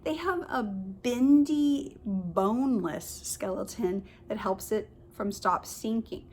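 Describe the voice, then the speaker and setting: A woman kindergarten teacher reads a picture book aloud to young children, pausing to explain and point things out in simple words. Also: medium pitch, a little mellow, breathy edge.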